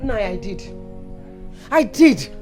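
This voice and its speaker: A woman's voice: mid-range voice, very animated, takes it slowly, warm tone, soft background music. An actress playing a mother who defends her daughter and scolds her husband. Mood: pleading. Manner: pleading, scolding.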